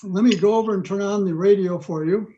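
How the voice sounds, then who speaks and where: A man with a high voice and a mellow tone talks over a video call. He presents informally, explaining things in a halting way as he goes. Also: muffled recording, slight background noise. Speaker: club presenter introducing an old radio program. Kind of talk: informal spoken introduction. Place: online video call meeting. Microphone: video call microphone.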